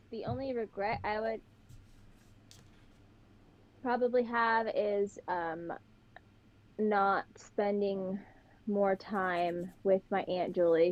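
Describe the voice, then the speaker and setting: A young woman with a Pittsburgh accent talks as a podcast guest, telling stories in an animated, chatty way. Her voice sits at a middling pitch. The recording is a little dull and lo-fi. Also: slow pace. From Pittsburgh, Western Pennsylvania. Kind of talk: casual chat. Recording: slightly dull, lo-fi sound.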